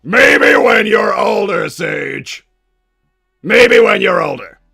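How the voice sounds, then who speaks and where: Casting: bombastic cartoon mad-scientist villain, doting but hot-tempered, high-pitched male voice with heavy rasp. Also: lively intonation, slow pace, bright, forceful tone, shouting, soft background music, exaggerated voice. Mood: stern. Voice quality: gravelly, raspy. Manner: blustering, bombastic. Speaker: livestream host. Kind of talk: in-character livestream chat.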